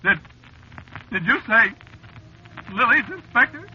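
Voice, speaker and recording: An actor playing a nervous, superstitious American cab driver in a 1940s radio drama, pleading and stammering in working-class slang. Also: male voice, very high-pitched, sing-song, slow pace, heavily acted. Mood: frightened. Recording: dull, noisy vintage radio recording.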